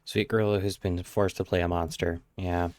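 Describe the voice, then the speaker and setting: A man with a fairly low voice streams a game. His commentary is casual and offhand, with some mild cursing, in a dry, close recording. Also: little pitch movement, brisk, no echo, faint music behind.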